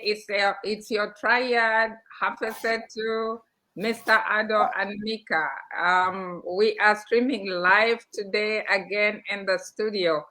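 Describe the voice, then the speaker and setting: A woman from Zambia hosts a live studio stream, urging listeners with eager, persuasive energy. She talks in a mid-range voice and leans on frequent fillers as she builds her pitch. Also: sharp tone, low-quality recording. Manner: enthusiastic, persuasive, many fillers. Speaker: co-host. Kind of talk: live-streamed motivational talk show.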